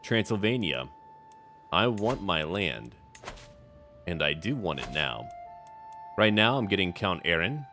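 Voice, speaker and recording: A man's voice: sits low, a bit bright. A man narrating a strategy game in character, musing aloud theatrically in short, clipped phrases over music. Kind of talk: in-character roleplay game commentary.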